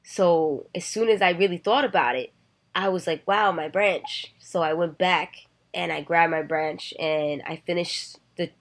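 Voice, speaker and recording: Woman in her thirties, low voice, earnest and reflective vlogger, webcam in a bedroom. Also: a little slow. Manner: earnest, reflective, with many "you know" fillers. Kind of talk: personal storytelling to camera. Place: bedroom, indoors. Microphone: webcam at desk distance.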